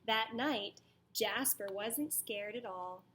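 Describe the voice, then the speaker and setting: Slow, high-voiced woman reading a storybook. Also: a bit bright, slight background noise. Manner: deliberate, dramatic storytelling delivery. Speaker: teacher reading aloud to children. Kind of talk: expressive picture-book read-aloud with questions to children.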